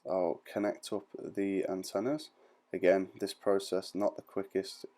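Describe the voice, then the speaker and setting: A man's voice: low-pitched, little pitch movement, unhurried, warm tone. A man giving an informal step-by-step tutorial voice-over in a plain-spoken, reassuring, explanatory manner. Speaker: repair tutorial presenter.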